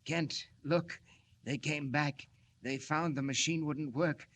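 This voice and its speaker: An actor playing a courteous, fussy scientist in a 1940s radio drama, with an earnest, polite manner. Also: male voice, a little high, fairly even intonation, slow pace, a bit bright. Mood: dazed, alarmed.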